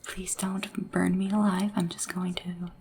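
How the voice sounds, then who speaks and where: A woman speaking softly and whispering in an ASMR roleplay as a polite, hesitant, deferential sleep assistant to royalty. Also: on the low side, little pitch movement, measured pace, distant mic, slight background noise. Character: nervous, devoted royal sleep assistant to a dragon ruler.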